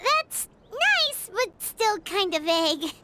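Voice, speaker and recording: A woman voicing a small, excitable fairy companion in a video game, with a squeaky childlike voice and exclamatory manner. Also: takes it slowly, very noisy, full character voice. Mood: questioning. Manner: exclamatory, talks in third person. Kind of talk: animated video game dialogue. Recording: music behind the voice.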